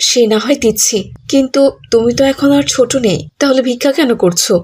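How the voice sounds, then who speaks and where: A woman's voice: fairly high pitch, a little fast, a little mellow, slightly rough. A woman playing a stern young college student in a cartoon, scolding and admonishing. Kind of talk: cartoon voice acting.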